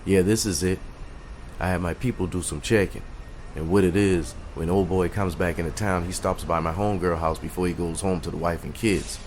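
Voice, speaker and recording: A man's voice: low-pitched, fairly even intonation, a little fast, darkish tone, slight background noise, natural voice. A man playing a confident, street-smart neighborhood guy planning a job in an audio drama, talking in laid-back slang. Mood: confident, scheming. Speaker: storytime host and character actor.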